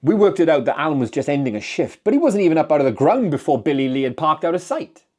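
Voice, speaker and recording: A man's voice: fairly high pitch, animated, fast talker, darkish tone, pitched above the performer's own voice. A man voicing a distraught, tired and dogged sheriff in a dramatic story reading, insistent and emphatic. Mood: agitated, bitter. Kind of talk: dramatic audiobook character reading.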